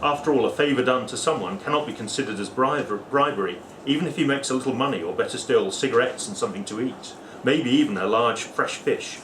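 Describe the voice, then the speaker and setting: A man talking at a book launch, casual and hesitant with frequent fillers, then reading essay excerpts aloud. His voice sits at a middle pitch. The room is echoey, with steady background noise from the event. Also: a little fast. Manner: conversational, hesitant, many fillers and restarts.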